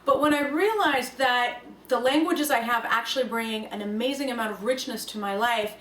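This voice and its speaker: Russian-native young woman talking about her own life, earnest, a bit high-pitched, roomy echo and sitting back from the mic. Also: moderate pace, distant mic. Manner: earnest, reflective, lively emphasis. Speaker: language learning speaker. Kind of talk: personal talk to camera. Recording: echoey room, voice from off the mic, faint room noise.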